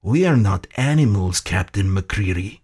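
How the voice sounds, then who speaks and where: Man acting an oily, gloating general, slow and menacing. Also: fairly low pitch, lively intonation, darkish tone, breathy edge. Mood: boastful. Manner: sultry, theatrical, deliberate.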